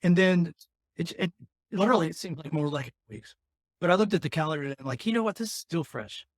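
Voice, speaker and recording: A man with a raspy voice hosting a podcast, talking candidly and earnestly about his own life, rambling with frequent restarts. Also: fairly high pitch, expressive, fast, dry recording, studio quality. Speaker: podcast host. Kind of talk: candid personal talk.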